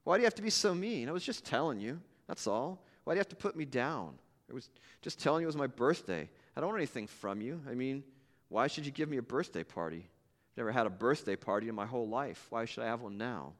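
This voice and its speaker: A man voicing a shy, weary woman of thirty-nine who speaks softly and haltingly, in a hall with long reverb. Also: medium pitch, animated, natural voice. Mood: hurt. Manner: soft, halting, hesitant.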